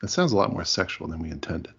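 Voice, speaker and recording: Deadpan holy savior played by a man, low and husky, solemn comedy audio play role. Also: fast, full character voice. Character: solemn, deadpan divine male savior vouching for an alibi. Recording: dull lo-fi home recording.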